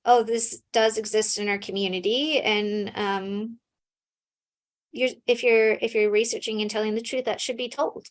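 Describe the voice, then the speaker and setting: A woman's voice: on the high side, fairly even intonation, normal speed. Thoughtful American woman scholar explaining. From United States. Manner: thoughtful, frequent fillers and restarts. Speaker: scholar guest. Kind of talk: casual interview answers.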